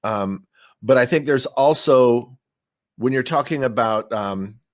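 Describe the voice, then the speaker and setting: A man's voice: mid-range voice, slow. American man musing on a panel. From United States. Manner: reflective, thinking aloud with fillers. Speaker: improviser, panelist. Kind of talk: online panel discussion.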